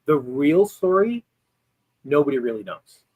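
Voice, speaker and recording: A man appears as a guest expert on a video call, explaining history in a loose, conversational way and often pausing on ums and restarting his sentences. His pitch is mid-range. The sound is dry and close. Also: sing-song, no echo, phone-quality sound. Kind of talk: explaining history in casual chat.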